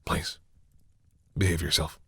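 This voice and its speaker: A man playing a proud vampire captor who is awkwardly softening, speaking hesitantly and condescendingly to the listener in an intimate audio roleplay. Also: takes it slowly, no room sound. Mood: instructive, stern. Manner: hesitant, sighing, wryly condescending toward humans.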